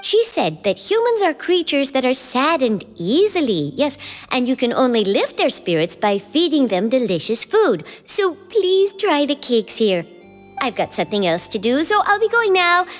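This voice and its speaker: A woman voicing a polite, gentle young non-human girl who speaks in a calm, matter-of-fact way. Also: high-pitched, moderate pace. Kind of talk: video game voice acting. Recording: game audio with reverb and music.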